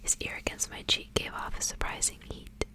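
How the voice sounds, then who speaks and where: Whispering woman reading a story for ASMR. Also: normal speed. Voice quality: breathy, unvoiced whisper. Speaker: ASMR creator reading aloud from a book.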